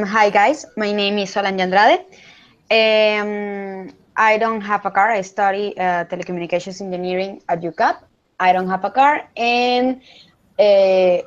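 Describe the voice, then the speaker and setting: A young woman, speaking hesitant, self-correcting English in an online chat. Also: medium pitch. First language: Spanish. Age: young adult. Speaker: student participant. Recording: dull lo-fi voice call.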